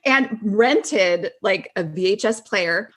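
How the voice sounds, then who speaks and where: A woman's voice: mid-range voice, expressive, takes it slowly, fairly bright tone. Chatty, giggly woman in her forties. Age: 40s. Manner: chatty, informal, giggly, loosely rambling. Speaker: podcast guest, actress. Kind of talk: casual chat, reminiscing.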